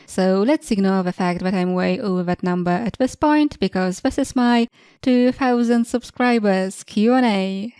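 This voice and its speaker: A young woman with a Polish accent, Polish her native language, calmly reading questions and her answers as a channel host. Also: mid-range voice, moderate pace, clean tone, no echo. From Eastern Europe. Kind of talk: scripted Q&A narration.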